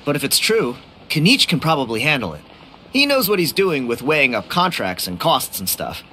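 A man's voice: a little high, big pitch swings, normal speed, bright, forceful tone, a little hiss or hum. An actor playing an upbeat young tribesman in a game, chatty, casual and earnest. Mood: musing. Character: upbeat young tribesman, eager amateur relic hunter. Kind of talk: English game voice acting. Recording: studio voice over game music.

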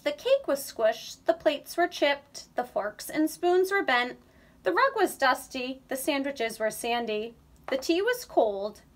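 A woman in her thirties reading a children's picture book aloud, slow and expressive, recorded on a webcam in a small room. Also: high voice. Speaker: read-aloud reader. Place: indoor room, under a sloped ceiling.

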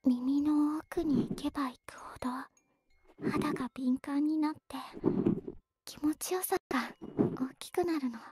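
A woman playing a gentle, doting older sister in an anime ASMR roleplay, speaking softly and breathily, often in a whisper. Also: high-pitched, a little slow. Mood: soothing, doting.

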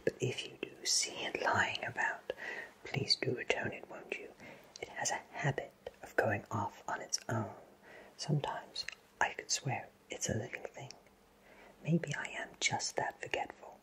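A man playing a friendly, absent-minded wizard who runs a potion shop, speaking in a soft breathy whisper for an ASMR roleplay, gently and reassuringly. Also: medium pitch, low-quality recording. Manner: slow, gentle, hesitant, reassuring.

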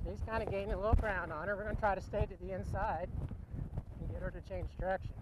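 Calm man coaching from horseback outdoors. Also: high-pitched, fast. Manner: calm, explanatory, calls out directions to riders. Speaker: horseback riding instructor. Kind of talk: live instructional commentary while riding. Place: outdoor dirt arena with cattle and horses. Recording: noisy outdoor arena recording. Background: hoofbeats, wind and outdoor ambience.

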